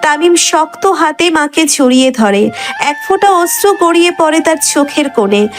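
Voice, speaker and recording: High-voiced woman narrating a story over music. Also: animated, moderate pace. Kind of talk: scripted dramatic story narration. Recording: background music under the voice.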